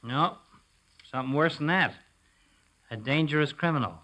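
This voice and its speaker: An actor playing a folksy, teasing barber with slangy talk in a 1940s radio comedy. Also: male voice, on the high side, normal speed, bright, forceful tone. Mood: egging on. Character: teasing, folksy small-town barber, male.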